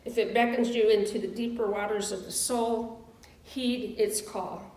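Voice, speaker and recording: A woman reading scripted words in a measured way at a church service. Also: a little high, fairly even intonation, darkish tone. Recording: echoey room, distant microphone.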